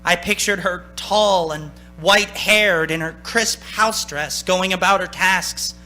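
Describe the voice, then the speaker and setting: A man plays a haunted young driver coming apart in a radio drama. The voice is bright and forceful, telling the tale with halting, desperate intensity. Music sounds faintly behind it. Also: high voice, heavily acted. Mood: weary, desperate. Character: frightened young man driver, unravelling, narrating his ordeal. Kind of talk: dramatic radio play acting.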